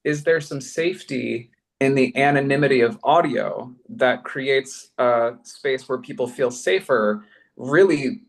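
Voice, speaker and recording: A man's voice: mid-range voice, a little slow, creaky. A man speaking conversationally in a panel discussion as a podcast producer, effusive and emphatic, thinking aloud in casual slang.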